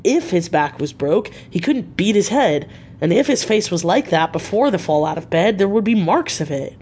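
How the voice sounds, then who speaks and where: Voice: mid-range voice, very animated, moderate pace, a little mellow, a little hiss or hum. A narrator acting out a puzzled, plain-spoken male asylum attendant. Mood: bewildered. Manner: colloquial, puzzled. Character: bewildered working-class male asylum attendant.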